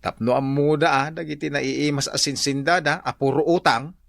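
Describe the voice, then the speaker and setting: A man's voice: a little high, fairly even intonation, normal speed, creaky, a bit bright, no echo, studio quality. A man with an Ilocano accent playing a blunt, scolding farmer husband and father in a comedy radio drama, given to exclamations. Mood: firm, explaining.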